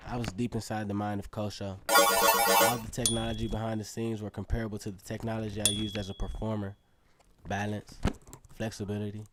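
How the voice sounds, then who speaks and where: Low-voiced eager young student played by a man. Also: noisy background, natural voice. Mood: inspired. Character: eager young male student performer, narrating his own story. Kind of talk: scripted first-person radio drama narration.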